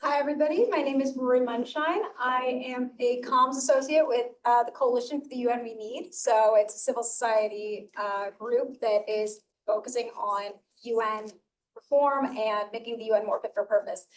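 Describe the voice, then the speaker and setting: A woman's voice: a little high, fairly even intonation, moderate pace, recorded from a distance, low-quality recording. A woman who works in communications, introducing herself fluently and with enthusiasm on a panel. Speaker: communications associate.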